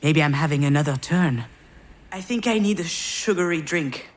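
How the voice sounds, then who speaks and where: A woman's voice: deep voice, animated, normal speed. A woman playing a troubled, sardonic screenwriter in a visual novel game, with a hesitant, wry and emotionally strained delivery. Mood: anguished, defensive. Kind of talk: naturalistic visual novel voice acting, introspective narration and dialogue.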